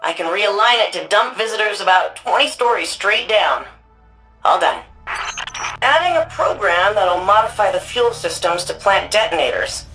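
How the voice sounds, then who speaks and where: A woman's voice: mid-range voice, animated, normal speed, sharp tone, faint music behind, poor audio. An actress playing a wry, anxious woman archivist and resistance hacker in a sci-fi video game, speaking in a casual, conversational way. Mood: focused, matter-of-fact. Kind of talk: video game mission dialogue.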